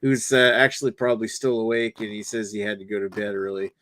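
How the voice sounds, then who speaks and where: A man's voice: fairly low pitch, steady tone, fast, a bit bright. A man hosting a beer review panel in loose, casual chat, steering the talk and swearing now and then. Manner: loose, swearing, leads the show.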